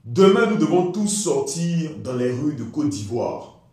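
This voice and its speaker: A man's voice: on the high side, very animated, a little slow. Very echoey man giving political speech. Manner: forceful, declamatory, emphatic repetition. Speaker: political activist. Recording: very echoey, reverberant sound.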